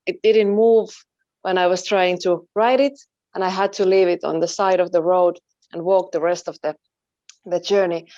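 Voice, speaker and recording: A woman from Finland, a native Finnish speaker, being interviewed about her work and talking thoughtfully, pausing and searching for words. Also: fairly low pitch, a little mellow, no room sound, dull audio. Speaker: interview guest, photojournalist. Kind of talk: online interview.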